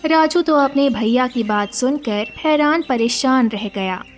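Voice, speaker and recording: Female narrator for animated bedtime tales, very high voice, scripted delivery, light music underneath. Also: unhurried.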